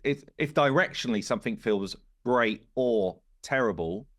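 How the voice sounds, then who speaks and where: A man's voice: medium pitch, normal speed, dry recording, clean studio sound. A man talking casually as a podcast host, explaining things informally and voicing imagined dialogue as he goes. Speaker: game studio founder and podcast host.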